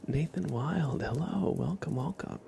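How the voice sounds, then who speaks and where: A soft-spoken man hosting an ASMR livestream chat, hesitant and often slipping into whispers. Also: on the high side, lively intonation, unhurried, creaky, a little hiss or hum. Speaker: livestream host.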